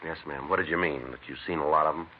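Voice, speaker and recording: An actor playing a terse police detective sergeant who narrates a 1950s radio crime drama in a flat, clipped, deadpan way. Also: male voice, a bass voice, quick pace. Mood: calm. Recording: lo-fi vintage radio broadcast with noise.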